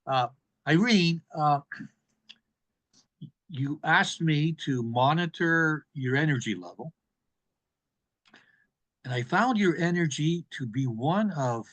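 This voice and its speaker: A man delivering an evaluation speech at a public speaking club in an emphatic, deliberate manner. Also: fairly high pitch, slightly rough.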